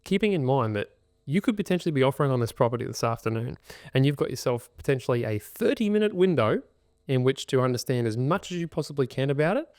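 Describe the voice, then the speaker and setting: An Australian man hosting a casual podcast about buying property, talking energetically with a naturally loud voice that he keeps in check. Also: mid-range voice, fast talker, no room sound, clean studio sound. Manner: loud, energetic talker reining in his volume. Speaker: podcast host and buyer's agent.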